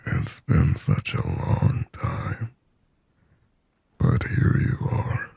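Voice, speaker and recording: A man voicing a lonely, possessive sleep paralysis demon in a hushed, breathy, intimate ASMR roleplay, halting and close to the ear. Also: no room sound. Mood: possessive, longing. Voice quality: breathy, husky whisper with low gravelly rasp. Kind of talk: scripted ASMR roleplay monologue. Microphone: close microphone, dry.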